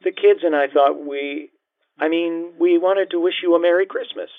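A man voicing a hesitant, gently polite estranged wife in audiobook dialogue, heard through a telephone filter. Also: on the high side, expressive, no room sound, pitched above the performer's own voice. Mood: unsure, polite. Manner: halting, unsure, gently polite. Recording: thin, band-limited phone-line sound.

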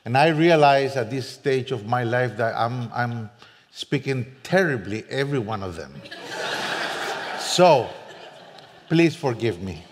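A man from Costa Rica with a Costa Rican Spanish accent, a native Spanish speaker, preaching as a guest with earnest, self-deprecating warmth and frequent restarts. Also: medium pitch, expressive, measured pace, pauses often, heavy background noise. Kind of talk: sermon. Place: church sanctuary. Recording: long church reverb.

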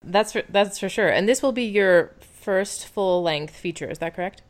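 A woman's voice: medium pitch, moderate pace. A woman interviewer who affirms her guest's answers and then asks curious follow-up questions.

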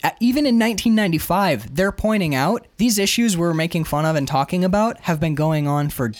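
Animated man, higher-pitched, talking comics. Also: a little fast, dry recording. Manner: emphatic, opinionated, profane. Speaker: co-host of a comic book discussion show. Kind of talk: animated casual discussion.

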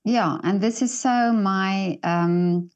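A woman from South Africa hosting an interview, speaking hesitantly with many pauses and restarts. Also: medium pitch, a little mellow, smooth, muffled recording. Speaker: interview host. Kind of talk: interview conversation.

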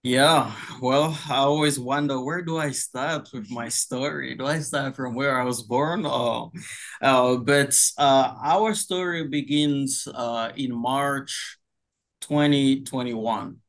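A young man from Congo speaks in an unhurried, drawn-out way, with frequent "uh"s as he gathers his thoughts. His voice sits at an ordinary middle pitch, and he laughs easily between his sentences. It sounds like a relaxed video-call chat. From Democratic Republic of Congo. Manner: slow, hesitant with many fillers, laughs easily. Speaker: pastor, guest. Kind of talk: casual interview chat.

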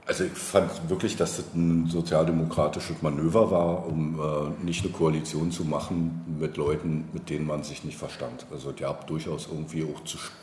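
Male interview guest, low voice, halting casual delivery, roomy sound with some noise. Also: flat delivery, a little mellow. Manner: halting, searching for words. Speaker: interview guest, political candidate. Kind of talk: casual conversation. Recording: roomy echo with background noise.

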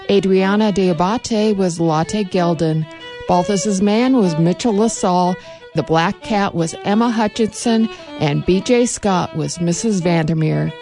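A woman announcer reading the closing credits of a radio play in a brisk, even way. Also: lowish voice.

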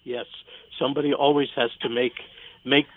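Male radio theater critic, medium pitch, learned and measured in conversation, narrow telephone-like sound. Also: little pitch movement, no room sound. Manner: measured, articulate, erudite. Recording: thin phone-like sound.

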